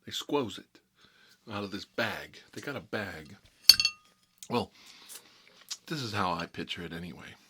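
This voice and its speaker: A man's voice: low voice, lively intonation, a little slow, long pauses, sharp tone, a little hiss or hum, full character voice. A man playing a talkative, hot-headed mob boss in an improvised ASMR comedy monologue, rambling and repeating himself in streetwise slang. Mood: relaxed, savoring.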